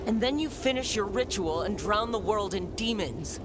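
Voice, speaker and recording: A young game hero, earnest and stung, with a high male voice. The delivery is blunt and emotional, cutscene drama through and through. Ambient rumble is clearly heard behind it. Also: expressive, moderate pace. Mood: bitter.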